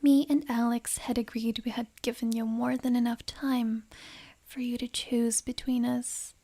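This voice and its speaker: A woman plays a wealthy, possessive noble lady for an ASMR romance roleplay. Her voice is fairly high and very soft-edged, with breathy, unhurried, refined phrasing. A gentle politeness covers her menace. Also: little pitch movement. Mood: earnest.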